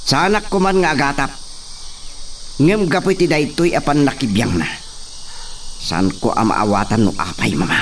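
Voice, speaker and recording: A man's voice: medium pitch, expressive, normal speed, pauses often, distant mic, slight background noise, lightly acted. A man playing an arrogant, scheming young hacienda heir in a radio drama, bossy and haughty. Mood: suspicious, dismissive. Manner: commanding, haughty.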